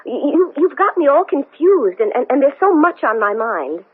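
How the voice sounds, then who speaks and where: A woman's voice: high voice, sing-song, normal speed, phone-quality sound. An actress playing a distressed, hesitant woman astronaut who doubts her own sanity, in 1970s radio drama style. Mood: flustered, defensive. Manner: emotional, hesitant, stammering.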